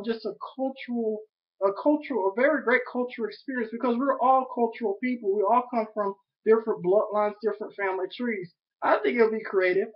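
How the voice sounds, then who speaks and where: A young man talking earnestly and repetitively to his webcam from a small room at home, pitching an idea. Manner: earnest, repetitive, self-promoting. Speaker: vlogger. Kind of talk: rambling webcam monologue. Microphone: webcam at desk. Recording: dull lo-fi webcam audio.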